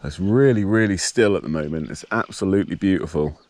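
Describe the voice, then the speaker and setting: A man with a blocked nose and a slightly nasal voice, casually talking through his fishing outdoors by a dam in a relaxed, explanatory way. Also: deep voice, brisk, dry recording. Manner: relaxed, explanatory, thinking aloud. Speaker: fishing vlogger. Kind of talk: casual outdoor vlog narration.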